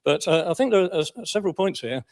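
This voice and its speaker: An English man with a slightly high voice delivers a formal council speech at a quick pace. He is matter-of-fact, setting out his points as a local councillor would. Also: animated, vocal fry, a little mellow. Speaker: county councillor.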